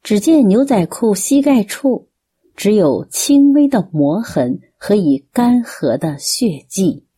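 一位女性旁白，照着稿子讲述广播剧故事。. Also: female voice, medium pitch, lively intonation, slow, warm tone, husky. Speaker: narrator. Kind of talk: scripted narration of an audio drama.

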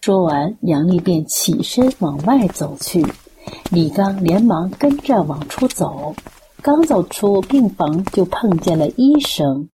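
一位女性旁白，照着稿子讲述广播剧故事。. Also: female voice, lowish voice, expressive, slow, mellow, slight background noise, poor audio. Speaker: narrator. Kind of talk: scripted narration of an audio drama.

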